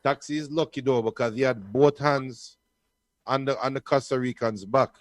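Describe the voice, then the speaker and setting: A man offers casual analysis during a live football match. His voice is medium in pitch and recorded dry, without room sound. He often repeats the opening of a phrase before making his point. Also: steady tone, moderate pace, no echo. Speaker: co-commentator.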